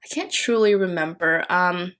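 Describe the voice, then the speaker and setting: A young woman from the Philippines, Tagalog as her first language, with a voice sitting a little low. She talks in a relaxed, rambling way, full of fillers and restarts, as a home voice-over for her planner video. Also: moderate pace. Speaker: planner vlogger. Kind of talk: casual voice-over while decorating a planner.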